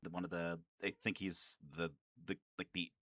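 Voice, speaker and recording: A man's voice: low-pitched, level tone, moderate pace, sharp tone, dry recording, clean studio sound, soft background music. A man giving casual, nerdy, enthusiastic fan commentary about games, swearing freely as he goes. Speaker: video creator and gamer.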